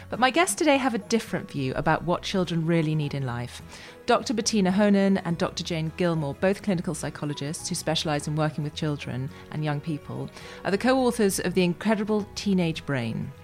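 A woman hosting a parenting podcast, reading a scripted introduction, then asking earnest questions. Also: lowish voice. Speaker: podcast host.

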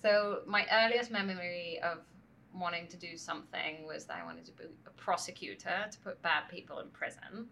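Gravelly-voiced woman guest, hesitant. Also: on the low side, normal speed, sharp tone, slight background noise, low-quality recording. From France. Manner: thoughtful, many hesitations and restarts. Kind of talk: conversational interview. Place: conference venue. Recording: faint background ambience at a summit.

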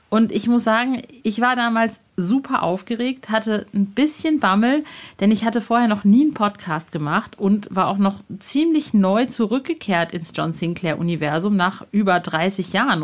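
A woman hosting a podcast in an informal, effusive, slangy chat style. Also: on the high side. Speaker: podcast host and interviewer. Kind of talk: chatty podcast moderation and casual interview.

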